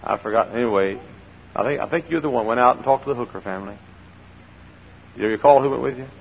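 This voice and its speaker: An older man preaching a sermon from the pulpit with a froggy, gravelly voice, shifting between hollered exhortation and quiet storytelling and repeating phrases for emphasis. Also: medium pitch, fast talker, slight background noise. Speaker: pastor. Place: large church sanctuary. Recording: dull old recording in a reverberant church.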